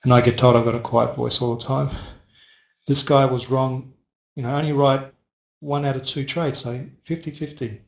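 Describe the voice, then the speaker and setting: A man's voice: mid-range voice, flat delivery, moderate pace, gravelly. A man hosting a casual live trading webinar, chatting loosely and answering viewers by first name. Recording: roomy echo.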